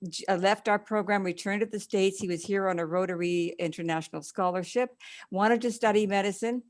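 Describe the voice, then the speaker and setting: A woman's voice: medium pitch, fairly quick. A woman, an alumni coordinator, formally introducing speakers with some hesitations in an online info session. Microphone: video call.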